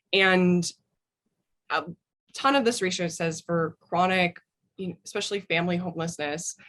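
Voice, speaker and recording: A woman's voice: lowish voice, fairly bright tone. An American woman speaking as an interview guest, giving thoughtful answers in an earnest, opinionated way. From United States. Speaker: guest, author.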